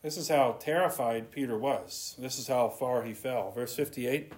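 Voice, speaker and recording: A man's voice: medium pitch, normal speed, darkish tone, a little hiss or hum. A man preaching an earnest sermon, reading scripture and retelling it in his own words. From not from Scotland.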